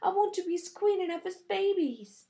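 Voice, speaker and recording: A woman's voice: very high voice, animated, normal speed, mellow, pitched above the performer's own voice. A woman voicing a dramatic, petulant young noble girl, haughty and pleading, in an audiobook story. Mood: insistent, upset.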